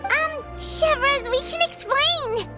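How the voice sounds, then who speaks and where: Squeaky, childlike, excitable fairy companion. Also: female voice, slow pace, loud music behind the voice. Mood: nervous. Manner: speaks of herself in the third person, exclamatory. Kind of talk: cartoonish video game acting.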